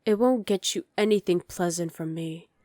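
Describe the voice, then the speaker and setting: A woman's voice: lowish voice, normal speed, a little mellow, dry recording, clean studio sound, lightly acted. A woman playing a dominant evil sorceress queen in an ASMR roleplay, commanding and condescending with cool menace. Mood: menacing, commanding. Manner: commanding, condescending, coolly threatening.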